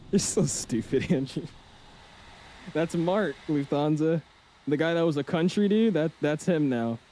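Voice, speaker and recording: Male streamer, casual and excitable, warm-toned voice. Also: on the high side, a little slow, long pauses. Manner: joking, excitable. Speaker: flight sim streamer. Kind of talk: casual chat while flying.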